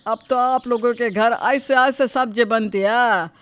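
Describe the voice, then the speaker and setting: A woman's voice: fairly high pitch, measured pace, noisy background, lightly acted. A woman playing a blunt, gossiping male vegetable seller in a story, with rustic, familiar talk. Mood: gossipy.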